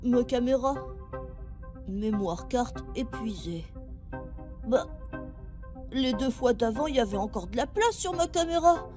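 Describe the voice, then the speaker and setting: A woman's voice: on the high side, lively intonation, measured pace, pauses often, music in the background. A woman playing an earnest, weary seven-year-old intern in an audio drama. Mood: puzzled, thoughtful.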